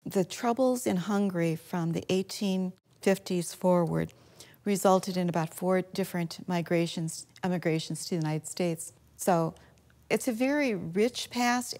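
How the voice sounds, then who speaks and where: A woman's voice: fairly low pitch, darkish tone. A woman interviewed as a local historian, explaining in a lecturing manner. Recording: interview with music underneath.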